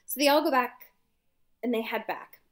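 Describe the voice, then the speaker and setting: A woman co-hosting a podcast, casually recapping a story in an animated way and acting out bits of dialogue. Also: on the high side, moderate pace. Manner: animated retelling, acts out dialogue. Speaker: podcast co-host. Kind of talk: casual chat recapping a novel.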